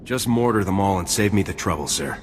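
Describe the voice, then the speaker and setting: An actor playing an insolent, ruthless commando lieutenant in a video game, speaking in a curt, hard, contemptuous manner. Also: male voice, low voice, animated, fairly quick. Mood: hard, impatient. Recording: long reverb with faint noise.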